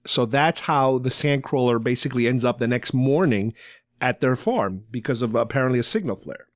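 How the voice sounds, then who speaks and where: A man's voice: medium pitch, moderate pace, dry recording, clean studio sound. A man hosting a podcast, casually retelling a story in a chatty fan discussion style. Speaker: podcast host.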